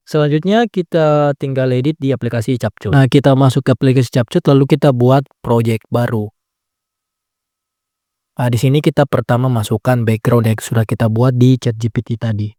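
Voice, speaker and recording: A man giving a relaxed, step-by-step phone app tutorial, talking casually and often checking in with the viewer. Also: medium pitch, no echo, clean studio sound. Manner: relaxed step-by-step explaining, frequent "nah" and "ya kan" tags. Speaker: tutorial creator.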